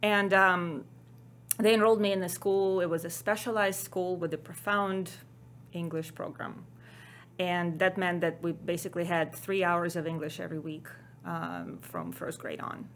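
Ukrainian woman guest on a podcast, lowish voice, bit of background hiss, lots of ums. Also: a bit bright. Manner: measured, explanatory, frequent ums. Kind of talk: interview conversation.

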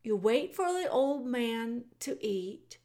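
A woman voicing a simple, rough-spoken young serving woman with slow, blunt rustic speech. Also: on the high side, expressive, dark tone, voice pushed up. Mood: plain.

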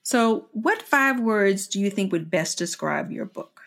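A woman's voice: medium pitch, lively intonation, a little slow, a bit bright. A middle-aged woman with an American accent, interviewing conversationally in an effusive, rambling way and often checking for agreement. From Washington DC, United States.

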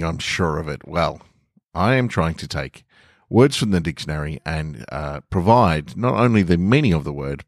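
A man's voice: sits low, no echo, studio quality. A man hosting a podcast, talking to listeners and narrating short stories he has written. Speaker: podcast host and author reading own stories. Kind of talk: relaxed podcast introduction and short-story narration.

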